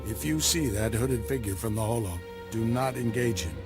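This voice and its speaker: A man voicing a wise Jedi master, low-voiced and measured. He gives orders and lessons with grave calm. Game score plays clearly behind. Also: over music, lightly acted. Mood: commanding.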